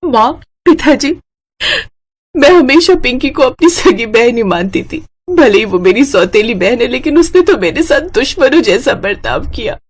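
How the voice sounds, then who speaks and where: A plucky young village snack seller voiced by a woman in a kids' cartoon. She is high-pitched and scrappy, as ready to hawk her food as to stand up for herself. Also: animated, normal speed, husky. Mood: tearful. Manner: loud hawking and scrappy scolding. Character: feisty hardworking young village woman selling pani puri. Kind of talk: children's cartoon story acting.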